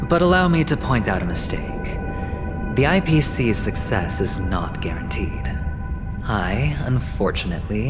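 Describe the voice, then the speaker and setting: Sly doomed gambler in a game cutscene, theatrical, pitch swings around a lot, dramatic music under him. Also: male voice. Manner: theatrical, deliberate, drawn-out phrasing. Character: sly, fatalistic young male gambler and corporate envoy. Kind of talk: English video game cutscene acting.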